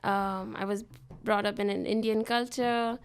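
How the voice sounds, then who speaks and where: Young woman from dubai, bit of vocal fry, easygoing studio guest, mid pitch. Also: unhurried. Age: 20s. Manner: relaxed, thoughtful, laughs easily between thoughts. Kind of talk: conversational interview. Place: radio studio.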